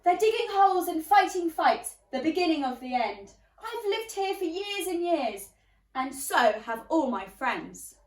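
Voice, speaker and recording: A woman's voice: very high voice, big pitch swings, measured pace, far from the mic, full character voice. A woman voicing indignant storybook townsfolk, dramatic, scandalised and emphatic as they complain. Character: indignant townsfolk complaining about pirate neighbours. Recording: roomy, echoey, away from the mic.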